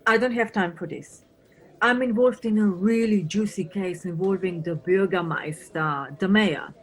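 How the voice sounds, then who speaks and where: A woman's voice: mid-range voice, unhurried, lightly acted, poor audio. A woman acting in an audio play as an irritable, weary Flemish police officer, dismissive and sardonic. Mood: exasperated, scornful. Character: gruff, irritable female police officer from Flanders, weary, scornful of tourists.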